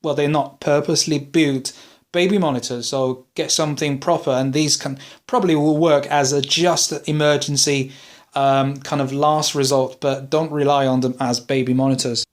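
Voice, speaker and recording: A man's voice: mid-range voice, fairly even intonation, normal speed. A man presenting an informal product buying guide as a hobbyist, explaining and advising as he rephrases along the way. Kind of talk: informal product advice video.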